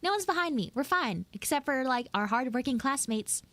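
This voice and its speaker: A sassy tsundere frog girl of high school age, voiced by a woman chats in a live roleplay. Her voice sits fairly high and swings widely in pitch as she rambles bluntly and swears. The sound is dry and close, with little room to it. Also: expressive, brisk, a bit bright, no room sound. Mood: nervously dismissive. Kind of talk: improvised live audio roleplay, casual chatty monologue.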